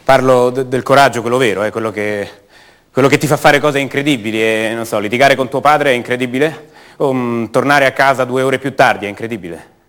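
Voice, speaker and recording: An actor playing an ordinary, self-doubting man back home, speaking a halting, conversational monologue in a radio drama. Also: medium pitch, fairly bright tone, natural voice. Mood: wry, musing. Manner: halting, conversational, rhetorical questions to the listener.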